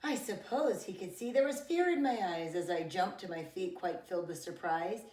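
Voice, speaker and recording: Middle-aged woman, lowish voice, expressive preschool read-aloud, dramatic emphasis, recorded a bit away from the mic. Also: far from the mic. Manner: slow, deliberate phrasing with dramatic emphasis for young children. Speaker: preschool teacher reading a picture book aloud. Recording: roomy echo, away from the mic.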